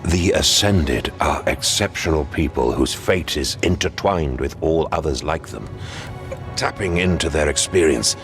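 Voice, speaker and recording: A wounded old zealot commander for a game cutscene. His very deep voice rises and falls widely as he speaks in stern, formal commands. Game music plays clearly behind him. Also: animated, measured pace, heavily acted. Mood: solemn. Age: older. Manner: solemn, commanding, grandly formal speech. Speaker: zealot commander of the vault defenders. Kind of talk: video game cutscene voice acting.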